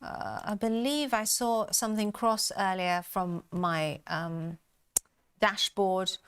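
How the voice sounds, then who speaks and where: A woman's voice: medium pitch, fairly even intonation, slow, a bit bright, clean tone. A woman hosting a live trading show, chatting through stock moves with many fillers and an upbeat manner. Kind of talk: live market commentary chat.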